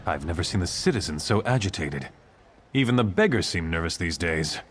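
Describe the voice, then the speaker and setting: A stern, duty-bound guard officer from a fantasy video game. The voice is very low and expressive, grave and earnest in delivery, with faint ambient noise behind it. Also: male voice, brisk. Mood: troubled, regretful. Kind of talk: scripted fantasy game dialogue.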